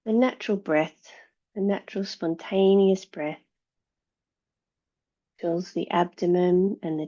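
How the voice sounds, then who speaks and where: A woman teaching yoga, leading a guided meditation in a calm, measured, soothing way with long pauses between phrases. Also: fairly low pitch, highs cut off.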